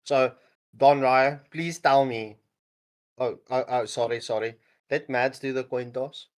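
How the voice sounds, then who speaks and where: A man's voice: mid-range voice, steady tone, a little slow. A man casting a mobile esports match, joking and laughing often between calls. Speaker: esports caster. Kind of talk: casual esports commentary.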